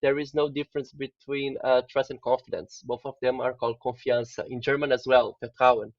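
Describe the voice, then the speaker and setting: A man's voice: mid-range voice, level tone, no echo. Man, dull remote audio. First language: Portuguese. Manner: measured, academic, many "uh" fillers. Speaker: guest expert. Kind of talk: interview answers.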